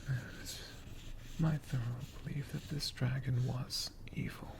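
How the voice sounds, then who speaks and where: A man playing a friendly, bookish dragon who tells stories in a soft, breathy ASMR whisper, gently lingering over words. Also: medium pitch, flat delivery, measured pace. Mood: gentle, musing. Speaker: ASMR host.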